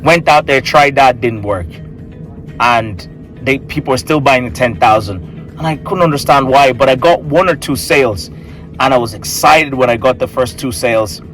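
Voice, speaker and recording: A man in his thirties talks off the cuff in an office recording booth. His voice sits at an ordinary middle pitch, and he rambles, restarts and corrects himself mid-sentence. Faint music plays underneath. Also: normal speed, a bit bright, low-quality recording. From Tanzania, raised in Ireland. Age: 30s. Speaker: marketing coach. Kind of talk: casual unscripted talk to camera.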